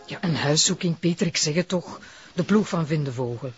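A woman's voice: sits low, a little fast, highs cut off, over loud music, natural voice. An actress with a Flemish accent playing a direct, level-headed wife of a policeman in a television crime drama. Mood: exasperated.

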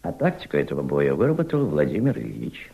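An actor playing an impassioned senior Soviet diplomat in a radio drama, mentoring a young subordinate with emphatic, didactic rhetoric and deliberate repetition. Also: male voice, a bass voice, huge pitch swings, vocal fry, phone-quality sound, heavily acted. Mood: firm, instructive. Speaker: actor in an audio play.

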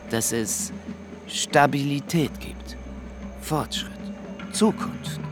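An actor playing a formal, courteous Congolese state security official with veiled menace in a radio play. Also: male voice, medium pitch. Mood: smoothly menacing. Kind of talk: radio play dialogue and recollection.

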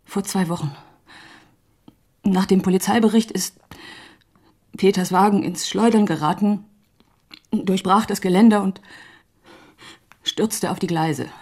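An actress playing a grieving, doubting woman in a radio drama, speaking haltingly with a breathy, husky voice. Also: mid-range voice. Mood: subdued, insistent. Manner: hesitant, halting, hushed. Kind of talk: naturalistic radio drama acting.

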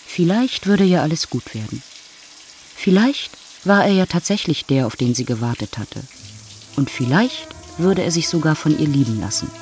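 A woman's voice: sits low, animated, normal speed, a little mellow, slight background noise. Radio play narrator: composed, wry female storyteller, literary delivery.

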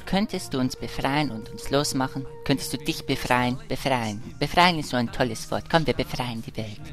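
A man's voice: mid-range voice, normal speed, over music, close to an everyday voice. A man playing a dreamy, gushing adventurer in a fantasy parody audio drama.

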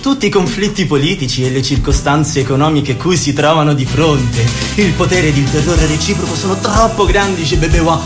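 An actor plays a grave male narrator in a theatrical radio play. His fairly high voice is declamatory, set over clearly audible music. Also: fairly quick, hardly any pauses, close to an everyday voice. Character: grave male chorus narrator describing ruthless power.

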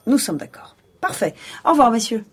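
An actress playing a composed, dryly authoritative police chief in a French audio drama, speaking in a measured, businesslike way. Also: female voice, on the high side, big pitch swings, slightly rough. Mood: dry authority. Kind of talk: French audio fiction acting.

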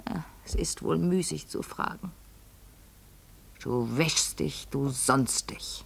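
A vain intense lady in a radio drama, breathy n gravelly, very theatrical about getting old. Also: low voice, slow pace, heavily acted. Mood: pleading, brooding. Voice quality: husky, breathy, creaky. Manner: theatrical, intense, addressing a servant. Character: vain, obsessive lady fearful of ageing. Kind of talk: literary German radio play monologue.

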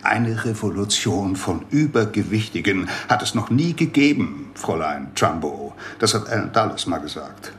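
A man's voice: lowish voice, lively intonation, normal speed, few pauses, fairly bright tone, a little hiss or hum. A man playing a rambling, conspiracy-minded doctor in a radio play, lecturing with theatrical emphasis. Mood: emphatic, amused.

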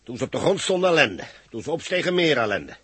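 An actor playing an irritable, impatient ground-control boss in a 1960s radio drama, gruff and exasperated. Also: male voice, medium pitch, big pitch swings, fast, fairly bright tone, dry recording, full character voice.

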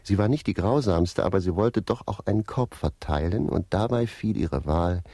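A man's voice: low voice, lively intonation, normal speed, mellow, no echo. An actor in a radio play as a cheeky manservant wryly and insistently recounting his youthful love escapades. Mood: wry storytelling. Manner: wry, insistent storytelling. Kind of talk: radio play narration and dialogue.

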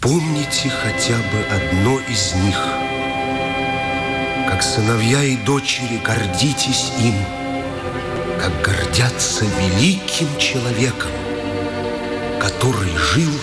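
A solemn orator in an old Soviet radio drama. He speaks in a fairly low voice and very slowly, with grave weight. Loud music plays behind him. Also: expressive.

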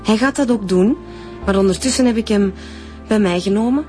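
A woman's voice: mid-range voice, brisk, darkish tone, faint music behind, lightly acted. An actress playing a guarded, defensive woman being questioned in a radio drama. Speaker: victim's daughter.

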